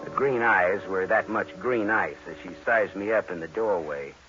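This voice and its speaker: An actor playing a deadpan, wisecracking private detective who narrates his case in old-time radio noir style. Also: low voice, normal speed. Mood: wry narration. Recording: dull vintage radio recording with music.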